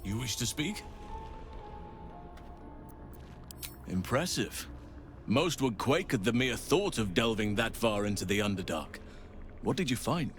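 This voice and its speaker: A man voicing a composed, cautious counsellor in a fantasy video game, speaking in a measured, formal way. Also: mid-range voice, lively intonation, soft background music, natural voice. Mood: curious, impressed. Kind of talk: video game character acting.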